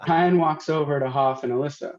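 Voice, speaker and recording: A man's voice: fairly high pitch, steady tone, fairly quick. Muffled male stage-direction reader. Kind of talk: scripted narration at a table read. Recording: muffled, dull video-stream audio.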